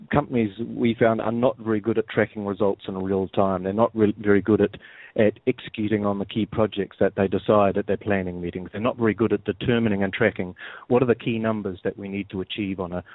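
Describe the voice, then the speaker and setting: A man with a New Zealand accent, a guest on a radio interview, explaining things in a measured way and often repeating words as he starts a sentence. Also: lowish voice, dry recording. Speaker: guest, software firm executive. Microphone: phone. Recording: tinny phone line.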